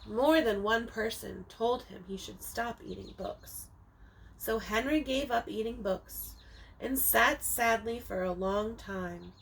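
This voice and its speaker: A woman teacher reading a picture book aloud to young children, expressively, with dramatic emphasis and drawn-out words, speaking to them directly. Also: fairly high pitch, creaky, slight background noise, low-quality recording. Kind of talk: animated children's storybook read-aloud.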